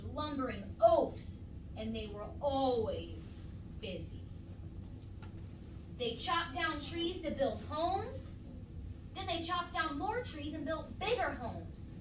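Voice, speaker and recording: A woman reading a picture book aloud to a child in an expressive, emphatic way, pausing to ask questions. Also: high voice. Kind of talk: animated children's read-aloud. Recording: roomy, distant mic, noisy home recording.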